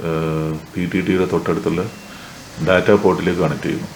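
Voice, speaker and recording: A man's voice: sits low, flat delivery, fairly quick. A man with a Malayali accent gives an unscripted technical tutorial in an instructional way, mixing in English technical terms. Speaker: tech tutorial presenter. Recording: echoey room with faint noise.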